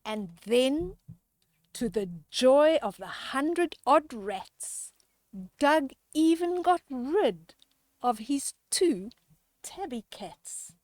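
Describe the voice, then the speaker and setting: Slow, high-voiced woman reading a picture book. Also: no room sound. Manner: expressive, drawn-out emphasis, chatty questions to the listener. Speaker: children's storyteller. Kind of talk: picture book read-aloud with asides to child listeners.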